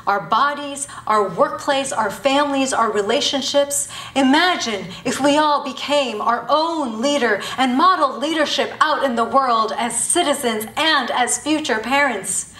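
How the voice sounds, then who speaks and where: A woman in her thirties, from Bangladesh and raised in Thailand, giving a live spoken-word performance with emphatic, rhetorical phrasing and pauses between listed words. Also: fairly high pitch, a bit bright. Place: university auditorium before an audience. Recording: echoey hall, voice from a distance.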